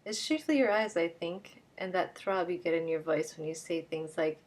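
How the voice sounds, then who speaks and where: A woman voicing a cool, cynical male private detective in a dry, measured tone, reading from a novel. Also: fairly low pitch, natural voice. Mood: sardonic.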